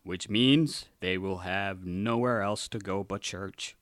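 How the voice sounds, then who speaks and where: Smug conservative activist played by a man, slightly deep voice, pompous and self-righteous, for comic radio drama. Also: takes it slowly, a bit bright, no room sound, studio quality. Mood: scheming. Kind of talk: satirical radio drama acting.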